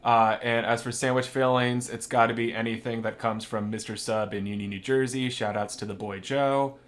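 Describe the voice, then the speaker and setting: A man speaks in a medium-pitched voice. He introduces himself as an actor in a casual, dryly joking manner. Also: steady tone, moderate pace.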